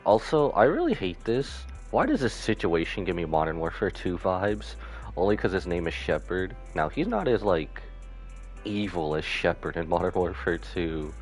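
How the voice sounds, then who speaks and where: Deep dude streaming a game, slangy, kinda skeptical, voice moves around a lot. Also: male voice, very animated, moderate pace, soft background music. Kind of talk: casual live game commentary, reading dialogue aloud.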